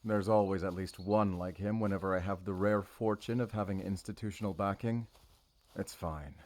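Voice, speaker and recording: Audio roleplay lead: man as brooding male werewolf hunter, low voice, halting and solemn, guarded yet intimate. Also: steady tone, a little mellow, natural voice. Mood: weary, resolute. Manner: halting, measured, solemn, intimate address to listener. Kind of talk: cinematic audio roleplay acting.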